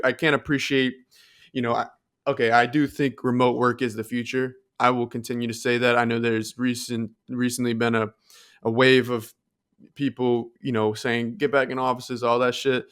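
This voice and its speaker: A man's voice: medium pitch, a bit bright, clean tone. An American man co-hosting a podcast, explaining his views on tech in a casual, earnest way. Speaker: podcast co-host.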